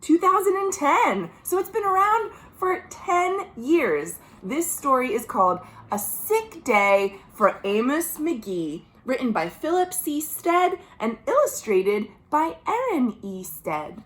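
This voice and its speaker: A woman with a high-pitched voice and an American accent hosts a storytime for little kids. Her delivery is very animated and slow, stretching out words and pausing to put simple questions to her listeners. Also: rough recording. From United States. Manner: expressive, drawn-out words, gentle questions to young listeners. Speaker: children's librarian hosting a virtual storytime. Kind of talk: read-aloud storytelling for young children, with chatty asides. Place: at home.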